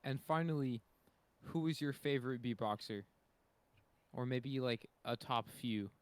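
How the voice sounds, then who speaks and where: A man's voice: mid-range voice, a little slow, clean tone, dry recording. A man co-hosting a podcast, asking interview questions in an informal, conversational way. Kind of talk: casual interviewing.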